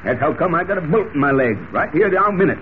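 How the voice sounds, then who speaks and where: A man's voice: a little high, lively intonation, quick pace, close to an everyday voice. A man playing a folksy, talkative cowboy in an old radio serial, with a drawling American Western accent. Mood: folksy storytelling. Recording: muffled old radio recording with hiss.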